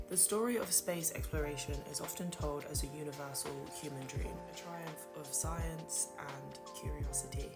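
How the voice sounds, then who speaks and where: Woman host, low husky-sounding register, measured scripted read over loud music. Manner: earnest, measured, lightly self-deprecating. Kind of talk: scripted explainer narration.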